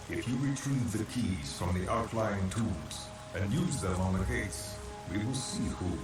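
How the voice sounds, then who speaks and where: An ancient male guardian speaks from far off in a cavernous echo. His low voice is grave, solemn and commanding, as in a fantasy game, with music underneath. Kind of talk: video game voice acting. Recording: heavily echoing, distant, music behind.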